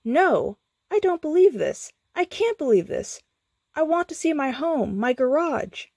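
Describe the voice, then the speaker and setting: A woman's voice: sits high, very animated, unhurried, a little mellow, no room sound, voice pushed up, exaggerated voice. A woman voicing a big hairy male storybook hero, earnest and bewildered, who exclaims and pleads. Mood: alarmed.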